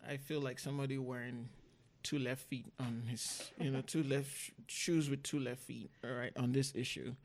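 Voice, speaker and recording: A woman podcast host chatting casually with a guest, asking questions in an informal, halting way. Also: extremely low pitch, steady tone, darkish tone. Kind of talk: casual interview chat.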